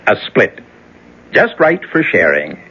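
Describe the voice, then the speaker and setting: A man's voice: on the low side, wildly swooping pitch, takes it slowly, heavy background noise, heavily acted. An actor playing a sly young man possessed by a devil, teasing with a menacing undertone, in an old radio drama. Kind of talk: 1970s radio drama acting. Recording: dull, muffled old radio broadcast.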